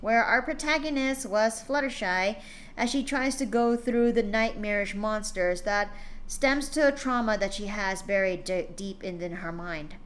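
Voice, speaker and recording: A woman's voice: mid-range voice, measured pace. A woman hosting a live stream, chatting casually with lots of fillers and restarts before reading fan fiction aloud.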